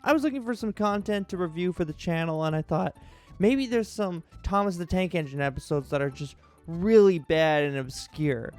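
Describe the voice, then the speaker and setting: A man's voice: sits high, expressive, normal speed, darkish tone, soft background music. A man hosting an online video, talking casually and chattily to his viewers.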